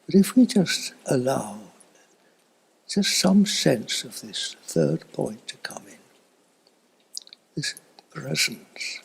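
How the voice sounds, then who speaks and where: An elderly man giving a slow, reflective spiritual talk in a husky, breathy voice that often fades toward a whisper, with long thoughtful pauses. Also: medium pitch, sing-song. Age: older, elderly. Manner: contemplative, halting with long pauses. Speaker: spiritual teacher.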